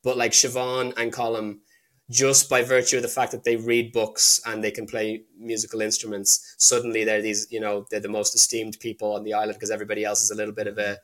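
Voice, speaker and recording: A young man talking casually as an interview guest, halting with frequent restarts and fillers. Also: fairly low pitch, monotone, a bit bright. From Ireland. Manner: hesitant, many restarts and fillers.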